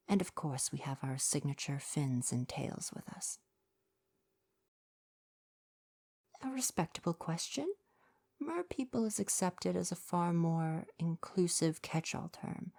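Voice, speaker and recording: A woman playing a kind young mer person shopkeeper in an ASMR roleplay, speaking softly in polite, articulate, formal retail patter. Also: low-pitched. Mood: patient, polite.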